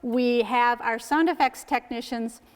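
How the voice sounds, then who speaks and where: A woman's voice: on the high side, measured pace. A woman giving an informal, step-by-step demonstration as a sound effects technician. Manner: instructive, step-by-step explaining. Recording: echoey hall with clear background noise.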